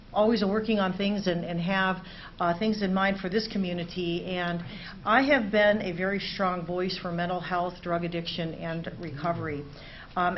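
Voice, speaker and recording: A middle-aged woman, a state legislator, giving formal remarks to a city council in a measured way with many "uh" fillers. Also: on the low side. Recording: slightly dull meeting recording, faint hiss.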